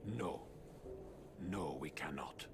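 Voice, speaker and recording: Creaky old mutant rat sensei. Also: male voice, extremely low pitch, expressive, noisy background, exaggerated voice. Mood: grave, concerned. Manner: formal, measured, solemn. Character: wise, grave old male mutant rat father. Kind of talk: video game cartoon acting.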